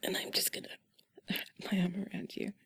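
A woman speaking in a soft, breathy whisper, intimate and playful, as in a bedtime ASMR roleplay with a partner. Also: moderate pace, no room sound. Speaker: ASMR performer playing an affectionate partner.

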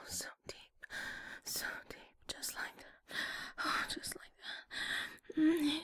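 A woman in an intimate audio roleplay, speaking close to the mic in soft, breathy whispers and sighs. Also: very high voice, no echo.

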